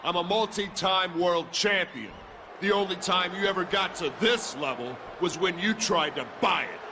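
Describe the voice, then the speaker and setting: A man's voice: high-pitched, moderate pace, background noise, lightly acted. An actor playing a cocky, coldly threatening male pro wrestler in a video game cutscene. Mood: taunting.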